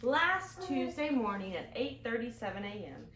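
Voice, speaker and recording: Female storytime presenter for little kids, bright and high, playful, questioning delivery, some room noise. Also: big pitch swings, normal speed. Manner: playful, sing-song, asks little questions of young listeners. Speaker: children's library storytime host. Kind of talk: interactive storytime for small children, songs and picture-book reading. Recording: echoey room, clear background noise.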